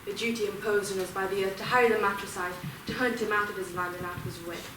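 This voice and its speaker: Actress as indignant avenging Fury, rapid insistent delivery, medium pitch, echoey stage drama. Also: female voice, fairly even intonation, close to an everyday voice. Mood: indignant, resolute. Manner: formal, insistent declamation. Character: stern, indignant female Fury, dutiful avenger of murdered mothers. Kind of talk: Greek tragedy stage acting. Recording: echoey room, distant mic, faint noise.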